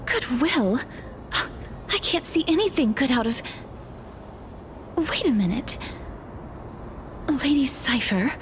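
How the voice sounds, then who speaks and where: A woman voicing a gentle, solemn young guide of souls in a video game, with soft, formal, measured speech. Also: high voice, very animated, slight background noise, heavily acted. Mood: questioning. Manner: soft, measured, formal, breathy phrase endings. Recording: background music under the voice.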